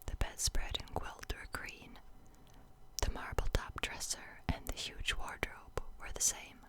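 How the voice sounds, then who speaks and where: A woman reads a novel aloud entirely in a whisper. Her pace is very slow and hushed, in the style of an ASMR story reading, with no full voice behind the words. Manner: slow, hushed, unvoiced delivery. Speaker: audiobook reader.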